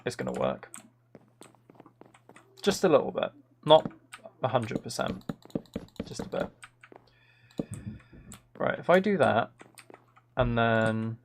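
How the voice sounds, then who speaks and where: Soft-spoken man musing over a game. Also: mid-range voice, a little hiss or hum. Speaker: livestream host. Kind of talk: casual gaming livestream chat, thinking aloud while building.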